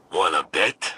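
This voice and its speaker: An actor playing a big-hearted, earnest old-soldier hero with a grand manner in a video game. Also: male voice, sharp tone, no room sound, full character voice.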